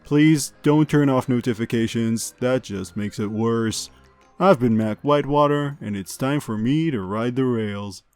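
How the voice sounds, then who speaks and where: A man acts out a chipper male podcast host reading comedic park news. He rambles in an upbeat, offhand way and stays deadpan through absurd items, at a middling pitch over background music. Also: measured pace, lightly acted. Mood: breezy, deadpan. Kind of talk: scripted comedic news monologue.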